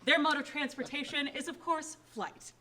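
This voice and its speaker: An enthusiastic woman with a bright, forceful voice, cheerfully showing off sound effects from her troupe's shows. She talks in a casual, gushing way. A faint hiss and some clicks sit behind her. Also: high voice, normal speed. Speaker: radio drama troupe member. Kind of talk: casual demonstration talk.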